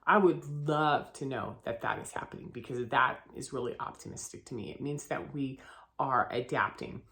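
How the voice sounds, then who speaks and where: A woman's voice: extremely low pitch, normal speed, a bit bright. A woman from Southern California with a head cold and a groggy voice, chatting in a casual vlog from her new home and often tagging "right?" onto her points. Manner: chatty, filler-heavy, often adds "right?". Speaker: vlogger.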